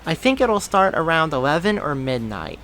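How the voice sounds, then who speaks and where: A man voicing a lively, affectionate young woman who works as a reporter, raising his voice higher for her. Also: moderate pace. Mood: chatty. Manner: raised, excited pitch.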